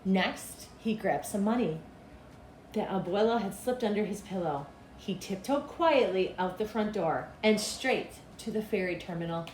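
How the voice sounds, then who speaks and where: A middle-aged woman reading a children's storybook aloud in an expressive, deliberate storytelling manner. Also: medium pitch. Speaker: school principal reading aloud. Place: small cinderblock school room, indoors. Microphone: camera a couple of meters away. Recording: echoey room with clear background noise.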